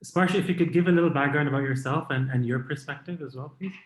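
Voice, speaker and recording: A man's voice: a little high, fast talker, roomy sound, rough recording. A man hosting a podcast, introducing his guests in a friendly way with hedging filler phrases. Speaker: podcast host. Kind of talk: interview host introduction.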